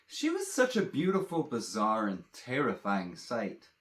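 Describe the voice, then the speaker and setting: A man's voice: medium pitch, wildly swooping pitch, unhurried, a bit bright. A man telling a fantasy adventure story in a dramatic, theatrical way, addressing listeners directly. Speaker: storyteller and author reading his own fantasy tale. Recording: roomy echo.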